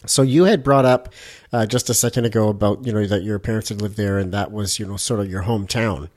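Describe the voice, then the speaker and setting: A man's voice: fairly low pitch, fairly even intonation, quick pace, darkish tone. A man hosting a podcast, asking conversational questions in an informal, playfully teasing way. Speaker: podcast host, interviewer. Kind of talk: casual interview, conversational questions.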